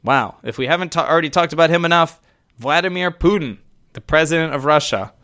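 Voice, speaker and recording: A man's voice: on the high side, fairly quick, bright, forceful tone. Rambling, laughing man on a podcast. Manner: rambling, laughs often, swears casually. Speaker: podcast co-host. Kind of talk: casual podcast chat.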